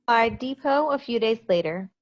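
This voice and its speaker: A woman's voice: fairly high pitch, expressive, normal speed, no room sound. A woman reading scripted stage directions for an amateur play reading over a video call. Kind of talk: scripted narration at table read. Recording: muffled dry video call.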